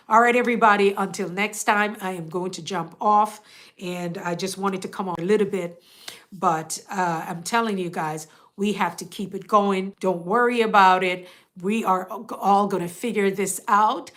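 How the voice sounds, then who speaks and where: A woman's voice: mid-range voice, fairly bright tone. A woman hosting a casual live stream, talking straight to her viewers in a rambling, motivational way, with a stuffed-up, congested voice. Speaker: host.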